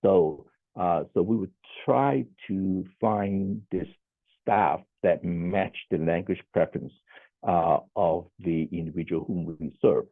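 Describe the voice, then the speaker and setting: Sex: man. Pitch: very deep. Sound: gravelly, husky, phone-like. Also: no echo. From Hong Kong. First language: Cantonese. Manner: measured, deliberate, marks each point with "okay". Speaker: social worker. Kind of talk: conference presentation. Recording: tinny phone-like line, dry.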